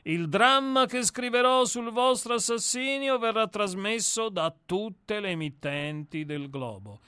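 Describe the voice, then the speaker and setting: A man's voice: high voice, expressive, a little slow, pushed and bright, smooth, dry recording, exaggerated voice. A man reading a radio play aloud, voicing a cynical, menacing novelist with a grandiloquent, mocking manner. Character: cynical, menacing male novelist, coldly theatrical. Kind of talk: dramatic reading.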